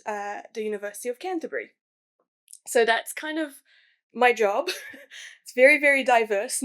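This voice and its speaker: A young woman from New Caledonia, a museum science communicator chatting enthusiastically about her career, with frequent fillers. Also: on the high side. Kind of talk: casual career talk.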